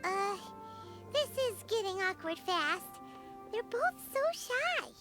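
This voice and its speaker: A woman's voice: big pitch swings, takes it slowly, fairly bright tone, soft background music, heavily acted. A woman voicing a tiny, chirpy female fairy companion in a game, with a bubbly, forthright way of talking. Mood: awkward. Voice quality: high squeaky chirpy voice. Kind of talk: game voice acting.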